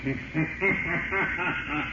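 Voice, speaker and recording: A man's voice: a little high, reverberant. An actor playing a mysterious male vigilante who talks with sly, sinister politeness and mockery, in 1930s radio style. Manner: mocking, deliberate, sinister politeness. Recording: muffled, very noisy 1930s radio recording, distant.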